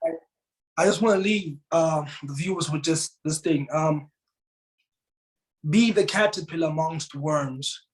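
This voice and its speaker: A man's voice: fairly high pitch, a little slow, long pauses, low-quality recording. A man from South Africa, a Tswana speaker, telling a motivational story earnestly as an interview guest. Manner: earnest, repeats words, rises to emphatic bursts.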